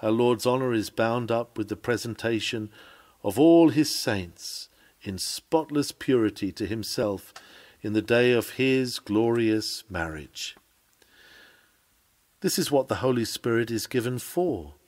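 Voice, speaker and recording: A man's voice: mid-range voice, animated, measured pace, a little mellow. A man reads a written sermon aloud in an earnest, oratorical manner. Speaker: reader of a historic sermon.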